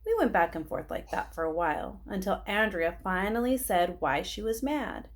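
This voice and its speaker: A woman reading a children's storybook aloud in an expressive style. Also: mid-range voice, moderate pace. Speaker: storybook reader.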